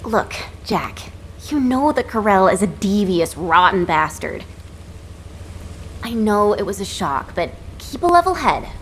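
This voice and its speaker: A woman's voice: mid-range voice, sing-song, measured pace, slight background noise. A woman playing a blunt, level-headed fighter in a sci-fi game, talking informally. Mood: placating.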